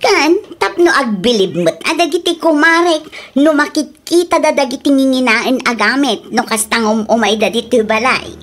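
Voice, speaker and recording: A woman's voice: sits high, lively intonation, normal speed. A woman with an Ilocano accent playing a young wife who likes expensive things, talking in a defensive, insistent way. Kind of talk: radio drama acting.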